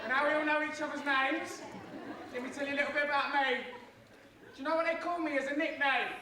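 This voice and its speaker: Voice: sits high, steady tone, quick pace, lightly acted. A performer playing a cheerful young pantomime hero called Jack, playfully addressing children from a stage. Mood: eager, playful. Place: theatre stage during live show. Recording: distant echoey theatre, noisy crowd.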